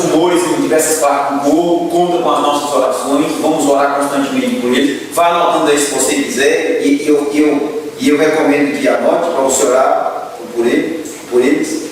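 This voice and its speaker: Earnest Brazilian deacon in an echoey hall. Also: male voice, fairly high pitch. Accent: Brazilian Portuguese. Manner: earnest, repeats phrases, addresses listeners as brethren. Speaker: Sunday school teacher and deacon. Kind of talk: church class announcements, prayer requests. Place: church classroom. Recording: echoey hall, distant mic, faint noise.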